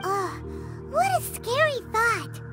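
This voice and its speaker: Very squeaky little fairy companion. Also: female voice, animated, slow pace, over loud music, heavily acted. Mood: curious. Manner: speaks of self in third person, eager. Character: small childlike floating fairy companion, chirpy and excitable. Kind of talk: English video game voice acting.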